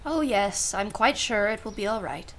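A woman's voice: medium pitch, animated, moderate pace, fairly bright tone, natural voice. A woman playing a wry, world-weary aunt in a radio play, with a dry, arch manner and formal phrasing. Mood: politely ironic. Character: wry, world-weary aunt minding her young niece. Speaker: actress.

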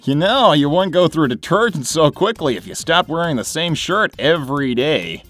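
Woman playing a young male cartoon hedgehog, cocky, affectionate, low for a woman, swooping pitch, comic dub. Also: animated, normal speed, faint music behind. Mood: reassuring.

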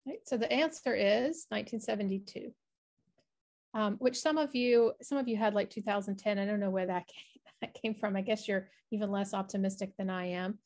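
A woman's voice: medium pitch, a little fast, darkish tone. A middle-aged woman, a researcher explaining her work in an online presentation over a video call. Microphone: video call, webcam framing.

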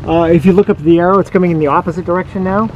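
Older captain, fairly high voice, windy deck. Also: male voice, steady tone, rapid-fire, dull audio. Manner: matter-of-fact, practical explanations. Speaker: sailboat captain. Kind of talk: casual instruction interview. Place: outdoors on a sailboat deck. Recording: wind and boat noise outdoors.

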